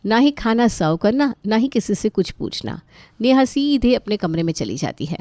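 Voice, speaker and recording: A woman's voice: a little high, very animated, moderate pace, mellow, dry recording. A woman reading scripted story narration.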